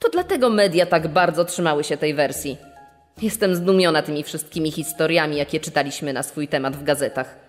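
A woman's voice: low-pitched, fairly quick, close to an everyday voice. Woman acting incredulous defensive wife, scoffing, reverberant, music behind, true-crime dramatization.

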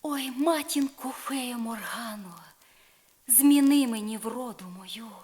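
A woman's voice: on the high side, slow, a little mellow, pitched above the performer's own voice. A woman reciting dramatic poetry as a sorrowful, pleading young maiden in love, plaintive and lyrical, on a crackly vinyl record. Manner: plaintive, yearning, lyrical delivery.

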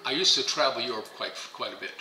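A man's voice: mid-range voice, fast talker, roomy sound, music in the background. An older man whose first language is German, telling stories in a casual interview at a dining table at home, rambling and repeating words. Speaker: interviewee, pigeon fancier. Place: bright home dining room, indoors.

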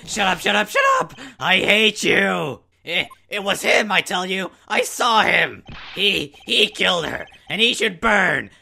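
A man's voice: high-pitched, expressive, measured pace, gravelly, pushed and bright, husky, exaggerated voice. A man voicing a shifty, hot-tempered male witness who stammers and blusters in a fan-dubbed video game. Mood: enraged.

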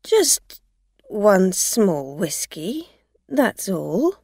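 A woman's voice: medium pitch, very animated, drawn-out words, darkish tone, no echo, studio quality, heavily acted. A woman voicing a tipsy, frightened woman driver in an audio story, hesitant, stammering and pleading. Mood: afraid, pleading. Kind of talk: acted dialogue in an audio story.

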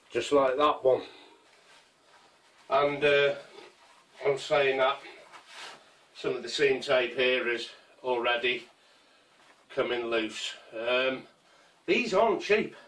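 A man in his fifties, casually showing his camping gear in a small conservatory, rambling and unhurried with many ums and restarts. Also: mid-range voice, fairly bright tone, recorded from a distance. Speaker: survival gear vlogger. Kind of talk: casual show-and-tell. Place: small conservatory full of kit. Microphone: camera mic from a few feet away.

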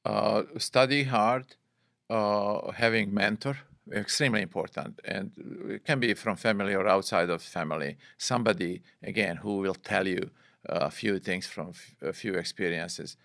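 A man in his sixties with a Bosnian accent, speaking earnestly and haltingly in non-native English as an interview guest. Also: fairly low pitch, vocal fry, fairly bright tone, dry recording, studio quality. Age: 60s. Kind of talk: reflective studio interview. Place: small wood-panelled podcast studio. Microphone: close boom-arm studio microphone.

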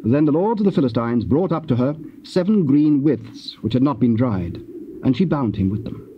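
A man's voice: mid-range voice, expressive. Solemn male scripture narrator on dull cassette. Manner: measured, formal. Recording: dull, faintly noisy cassette recording.

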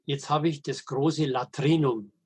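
Husky-voiced man in his eighties. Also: mid-range voice, fairly even intonation. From Middle Franconia, Germany. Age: 80s. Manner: halting, frequent hesitations and restarts; dry humor, anecdotal. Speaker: guest, veteran wastewater engineer and editor. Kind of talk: podcast interview, storytelling.

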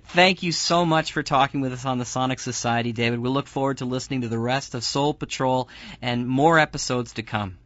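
A man's voice: medium pitch, a little fast, a bit bright, no echo. A man hosting a casual radio interview show, speaking as the interviewer. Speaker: host, interviewer. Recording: dry but dull, lo-fi.

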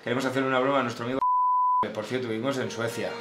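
A man's voice: medium pitch, little pitch movement, racing, over loud music. A man in his thirties with a Spain accent, bantering casually as host of a prank show. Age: 30s. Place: small home office, indoors. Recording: echoey room, faint background noise.

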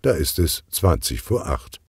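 Very deep, animated male storyteller. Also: vocal fry, slightly rough, dry recording, clean studio sound. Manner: expressive, deliberate storytelling. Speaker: narrator. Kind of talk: scripted children's audiobook narration.

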